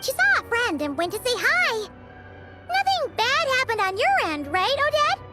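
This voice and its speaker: A woman's voice: very high-pitched, big pitch swings, moderate pace, loud music behind the voice. A woman voicing a small, chirpy, curious fairy companion with a bubbly, exclamatory delivery in a video game cutscene.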